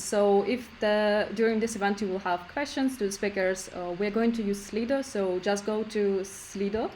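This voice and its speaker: A woman organizer introducing an online meetup, speaking briskly with halting "uh" fillers. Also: mid-range voice, a little mellow, slight background noise, poor audio. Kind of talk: event introduction.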